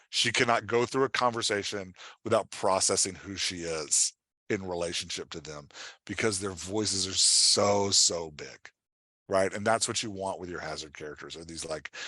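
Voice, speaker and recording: A man's voice: deep voice, sharp tone, dry recording. A man working as a writing coach, answering questions in an informal, emphatic, conversational way with casual examples.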